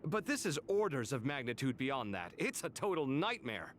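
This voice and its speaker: A man playing a dramatic, proud yet self-doubting architect in a video game, theatrical and expressive. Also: medium pitch, normal speed, slight background noise. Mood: anxious doubt. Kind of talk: scripted video game dialogue.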